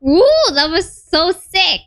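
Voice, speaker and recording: A woman's voice: extremely high pitch, sing-song, slow, a bit bright. A woman streaming a game with casual, slangy reaction commentary in an informal manner.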